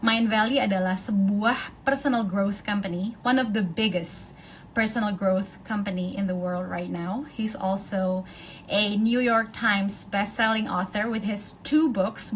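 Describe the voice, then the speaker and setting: An Indonesian-accented woman hosting a phone live stream, chatting casually and gushing with excitement. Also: medium pitch, rough recording. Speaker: host of an Instagram live. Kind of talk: casual live-stream chat switching between two languages. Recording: phone live stream with faint ambient noise.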